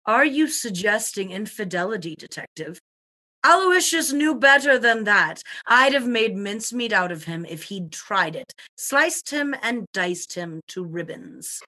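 A woman's voice: medium pitch, lively intonation, measured pace, bright, forceful tone. A woman playing a breezy, haughty society widow with an airy, dismissive manner in a comic radio murder mystery. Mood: haughty, cool. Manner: airy, grandly dismissive, punning.

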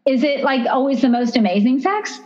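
A woman talking openly in a candid interview, often pausing and restarting her sentences. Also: sits high, expressive, darkish tone, lo-fi sound. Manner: open, hesitant, many restarts and fillers.

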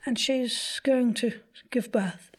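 A woman's voice: fairly high pitch, slow pace. A woman playing a humble, fond village mother of a grown son in an audio drama, speaking hesitantly. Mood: concerned. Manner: hesitant, fond, calls listener love. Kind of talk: naturalistic audio drama acting.

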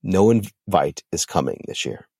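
A man voicing a calm, dismissive female demon who runs a party. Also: sits low, measured pace, gravelly, breathy edge, dry recording, studio quality, exaggerated voice. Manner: breezy, condescending.

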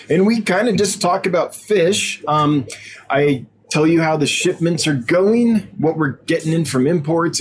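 A man hosting a weekly livestream, chatting in a relaxed, rambling way with many ums. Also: on the high side, slight background noise. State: stuffy nose, sniffling. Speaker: host of a weekly fish-selling livestream. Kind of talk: casual livestream chat.

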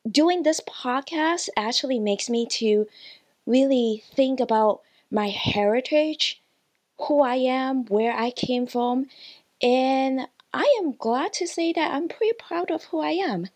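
Slow, high-voiced woman guest. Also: a little mellow. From China, grew up in Hong Kong. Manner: earnest, with some non-native grammar. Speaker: customer service employee, guest. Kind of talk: conversational interview.

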